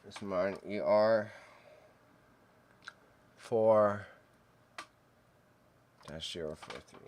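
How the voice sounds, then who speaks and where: A man with a fairly low voice talks casually to himself on a livestream. He speaks in short, offhand phrases and reads item details out loud as he works. There is clear background noise, with typing and clicking. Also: very slow. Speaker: streamer, antique reseller. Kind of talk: casual livestream work narration, muttering to self. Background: typing and computer clicks.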